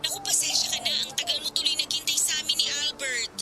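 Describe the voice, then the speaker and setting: A woman's voice: high-pitched, flat delivery, quick pace, loud music behind the voice, close to an everyday voice. A woman playing an apologetic young classmate who is running late in a radio drama. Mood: apologetic, hurried. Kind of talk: Tagalog radio drama acting.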